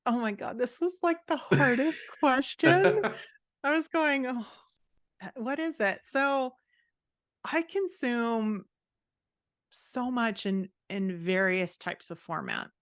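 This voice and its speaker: A woman's voice: on the high side, animated, slow, dry recording, clean studio sound. A woman guest talking casually and expressively in an interview, giving her opinions in informal language. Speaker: podcast guest, fantasy author and writing coach.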